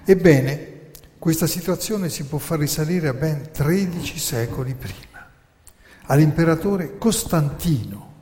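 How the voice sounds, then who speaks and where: A man hosting a radio program, telling history in a measured lecturing way and posing rhetorical questions. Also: fairly high pitch, a little mellow, in a large hall, slight background noise. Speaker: radio host and narrator. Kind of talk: lecture-style history talk.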